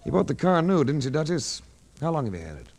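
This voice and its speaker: An actor playing a cool, unsentimental private investigator in a 1940s radio drama, with a clipped, sardonic, accusing way of talking. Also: male voice, a little high, very animated, quick pace, vocal fry, a little mellow, exaggerated voice. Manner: clipped, sardonic, hard-boiled. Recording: noisy vintage 1940s radio recording.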